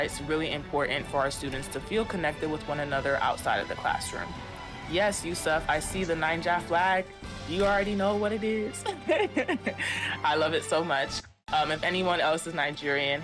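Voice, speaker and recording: A man hosting a live esports stream, reading and answering viewer comments with lots of ums, over background music. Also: fairly high pitch. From United States. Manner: upbeat, thanks viewers by name, frequent ums. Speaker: university esports stream host. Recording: clear music behind voice.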